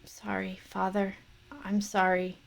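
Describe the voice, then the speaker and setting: A woman's voice: fairly low pitch, steady tone, a little slow, vocal fry. A woman voicing a wounded, vengeful young male swordsman, speaking in a grim, solemn way. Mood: weak, pleading. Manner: grim, repeated solemn vow.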